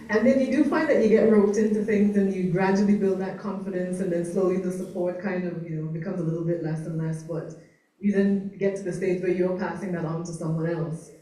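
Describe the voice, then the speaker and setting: A woman doctor on a conference panel, telling anecdotes in a lively way and laughing often. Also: mid-range voice. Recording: roomy conference hall, mic far off.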